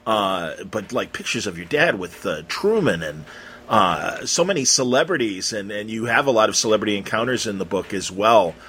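A man hosting a casual conversational interview, feeling his way through questions with halting, circling phrasing. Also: fairly low pitch, big pitch swings, fairly bright tone, slight background noise. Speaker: interviewer, host.

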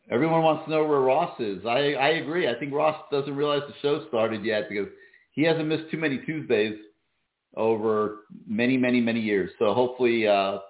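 A man hosting a sports call-in show, talking casually with many fillers, his voice going hoarse. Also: medium pitch, echoey room, phone-quality sound. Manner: relaxed, many fillers and restarts. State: losing his voice. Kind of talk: casual call-in radio talk.

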